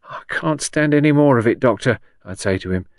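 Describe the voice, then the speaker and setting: A man's voice: medium pitch, expressive, a little fast, dry recording, studio quality, voice pushed up, natural voice. A man voicing a shrewd, blunt old working-class woman patient in an audiobook, chatty and colloquial with folksy endearments. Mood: chatty, reminiscing. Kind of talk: audiobook character dialogue.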